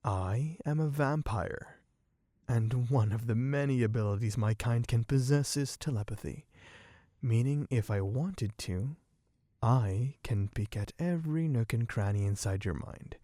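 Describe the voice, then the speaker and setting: A man playing a sadistic, possessive vampire in an ASMR roleplay, speaking in a taunting, condescending, deliberate way. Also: medium pitch, no echo, clean studio sound, natural voice. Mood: smug, taunting. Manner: taunting, condescending, slow and deliberate with soft chuckles. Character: sadistic, possessive male vampire toying with human captive.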